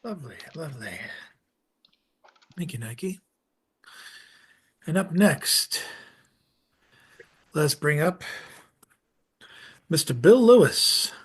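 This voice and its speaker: A man's voice: a little high, very animated, slow pace, pauses often, breathy edge. A man hosting an online open mic in a casual, chatty style. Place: online video gathering.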